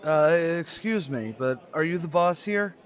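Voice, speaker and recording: A man acting in an old-time radio drama as a desperate, earnest father looking for work, speaking in a halting, pleading way. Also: fairly high pitch, expressive, a faint crowd. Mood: weary, resolved. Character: desperate, earnest, down-on-his-luck young father seeking work.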